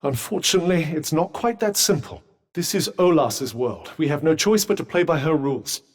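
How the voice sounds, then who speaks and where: A man's voice: fairly high pitch, expressive, normal speed. An actor voicing a sentient magical cuff in a video game, a dry, witty and protective male companion with a British accent and a formal, well-spoken, advising manner. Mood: measured advice. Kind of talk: scripted game dialogue. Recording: long reverberant tail on voice.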